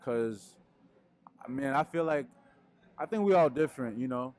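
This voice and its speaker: A young man from Peru, a musician answering interview questions in a relaxed, rambling way with lots of fillers, speaking into a handheld mic indoors. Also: mid-range voice, moderate pace. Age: young adult. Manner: laid-back, rambling, frequent fillers. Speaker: musician guest. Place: indoors in front of a wooden wall.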